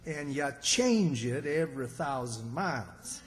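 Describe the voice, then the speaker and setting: An actor plays a good-natured, slow-witted country man in a radio comedy. His voice sits fairly high and swings up and down at an unhurried pace, ringing in a reverberant hall. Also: expressive, lightly acted. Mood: folksy. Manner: folksy, slow-witted, earnest. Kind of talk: old-time radio comedy acting. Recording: reverberant hall stage recording.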